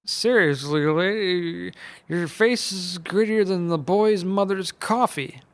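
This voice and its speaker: A man voicing a grumpy, wisecracking old uncle who drawls his taunts in a raised voice. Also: takes it slowly. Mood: taunting. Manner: taunting, drawling, nasal-high.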